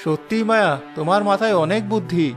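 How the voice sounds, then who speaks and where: Cartoon role: a young village headman, voiced by a man, high-pitched, earnest, with faint music. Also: fast, close to an everyday voice. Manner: earnest, encouraging. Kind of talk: Bengali cartoon voice acting.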